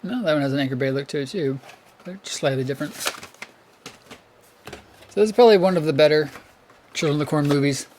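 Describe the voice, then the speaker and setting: A hoarse man who is losing his voice, hosting a casual collector vlog, rambling and correcting himself as he thinks aloud. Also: fairly high pitch, fast, long pauses, darkish tone, slight background noise. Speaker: VHS collector channel host.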